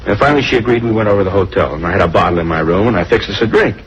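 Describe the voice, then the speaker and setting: A man's voice: on the low side, expressive, rapid-fire, close to an everyday voice. An actor playing a nervous, self-justifying American married salesman in a 1950s radio crime drama, rambling colloquially and defensively. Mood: sheepish, explaining. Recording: dull, noisy old radio recording.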